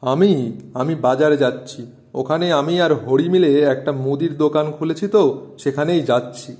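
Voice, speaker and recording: A man's voice: on the high side, moderate pace, darkish tone, close to an everyday voice. A man voicing a trusting, good-natured young village grocer, polite and earnest, in a moral-story cartoon.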